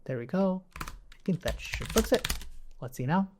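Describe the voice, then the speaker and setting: A tutorial presenter with a medium-pitched, very animated voice. The delivery is casual and unscripted, working through code aloud step by step. Statements often end on a short checking tag, as if teaching someone alongside. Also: normal speed, background noise. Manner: informal, thinking aloud while working, tags statements with "right?". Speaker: instructor, coding tutorial presenter. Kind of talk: casual live-coding tutorial narration.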